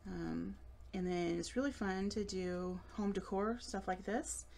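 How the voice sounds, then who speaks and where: A woman's voice: mid-range voice, measured pace, creaky, a little mellow, slight background noise. A woman in her late thirties giving a live craft demonstration, chatty and giggly with self-deprecating asides, recorded at a table under an overhead camera. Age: late 30s. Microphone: overhead camera above table.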